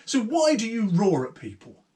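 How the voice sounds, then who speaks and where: A man's voice: sits high, huge pitch swings, normal speed, darkish tone, voice pushed up. A man playing a bold young woman traveller. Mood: challenging. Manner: blunt, challenging, unimpressed.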